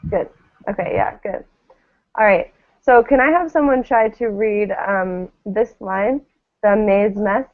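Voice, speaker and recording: A woman's voice: mid-range voice, unhurried, phone-quality sound. A woman teaching English pronunciation in a live online lesson, encouraging and carefully enunciating model words over thin call audio. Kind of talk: live online lesson, drilling word pairs.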